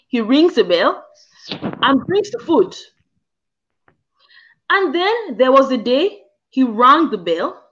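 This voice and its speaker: A woman's voice: fairly high pitch, a bit bright. A young African woman co-hosting a casual discussion from home over a video call, explaining earnestly with examples. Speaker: co-host. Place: indoors at home. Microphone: video call, front camera. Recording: muffled video call audio.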